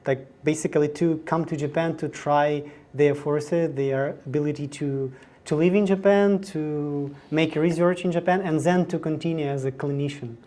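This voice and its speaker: Interviewer w a mellow, dark-ish voice, asks questions kinda haltingly. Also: medium pitch, fairly even intonation. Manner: non-native English, some broken phrasing.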